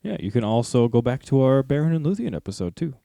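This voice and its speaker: A man's voice: medium pitch, sing-song, fast, vocal fry, dark tone, no echo, clean studio sound. A man hosting a casual fan podcast, talking in an informal, conversational way. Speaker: podcast host. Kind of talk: casual fan podcast discussion with read excerpts.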